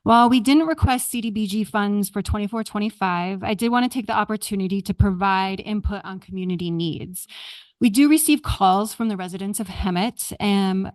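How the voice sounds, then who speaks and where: A woman who directs housing at a nonprofit, making a formal, measured prepared statement to a city council. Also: medium pitch, a little mellow, no echo. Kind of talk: prepared public comment. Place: council chamber.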